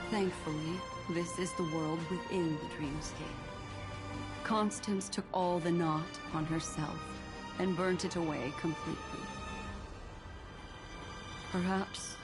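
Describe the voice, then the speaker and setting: Composed, low, slow-speaking envoy. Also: female voice. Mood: solemn. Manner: slow, deliberate. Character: composed, solemn female envoy, calmly reflective. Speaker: game character voice actor. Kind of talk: dramatic scripted game dialogue. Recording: music behind the voice.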